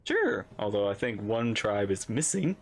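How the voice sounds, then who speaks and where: A woman's voice: extremely low pitch, very animated, unhurried. A woman streamer giving casual, joking reaction commentary as she talks back to a video game. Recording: game music behind the voice.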